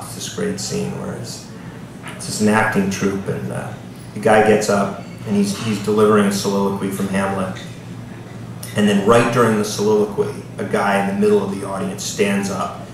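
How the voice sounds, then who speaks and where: A man's voice: low-pitched, level tone, normal speed. A man, an author, giving a live literary reading at a bookstore with casual asides to the audience. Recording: echoey room, distant mic, background noise.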